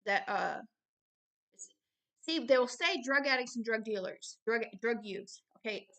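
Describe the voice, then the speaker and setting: A woman's voice: on the high side, expressive, unhurried, sharp tone. A woman giving extemporaneous Bible teaching and commentary in short, insistent phrases, repeating key words for emphasis. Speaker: religious teacher and show host.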